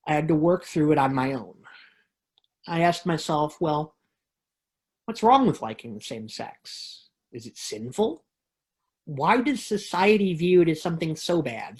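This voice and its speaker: Woman performing a reflective confessional monologue. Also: sits low. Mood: earnest, assured. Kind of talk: dramatic monologue reading.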